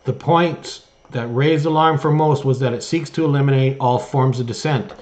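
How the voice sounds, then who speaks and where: A man hosting an online news show, giving opinion commentary and reading articles aloud in a halting way with emphatic stress. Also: mid-range voice, darkish tone. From Canada.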